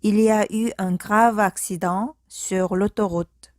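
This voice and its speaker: Woman, language teacher, slow careful drill, phrase-by-phrase repetition, mid pitch, dry close recording. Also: fairly even intonation, a little mellow, no room sound. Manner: slow, careful, repeats each sentence phrase by phrase. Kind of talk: slow speaking-practice drill.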